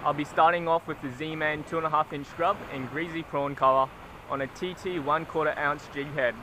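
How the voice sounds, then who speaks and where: A young man narrating his beach fishing vlog in a steady, instructional way, recorded outdoors on an action camera held at arm's length. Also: on the high side, normal speed, a little hiss or hum. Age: young adult. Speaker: fishing vlogger. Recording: music playing under the voice. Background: music.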